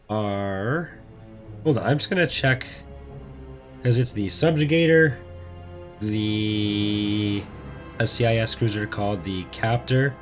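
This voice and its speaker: A man with a fairly low voice that is still recovering from being lost. He hosts a casual game playthrough, rambling along in a low-key way. Faint music plays behind him. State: recovering from voice loss. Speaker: host of a gaming playthrough series. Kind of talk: casual gameplay commentary.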